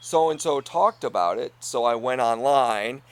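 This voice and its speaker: A middle-aged man, co-owner of an inn, talking earnestly in a casual conversation, laughing often and letting sentences trail off quietly. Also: medium pitch, animated, measured pace, smooth.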